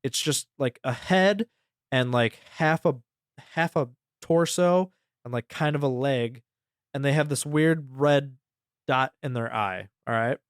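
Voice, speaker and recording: A man's voice: mid-range voice, unhurried, smooth, no room sound. A man with a mid-range voice talks as a podcast co-host, walking through a story in a casual, rambling style. He is informal and swears freely. The sound is very dry, with little room in it.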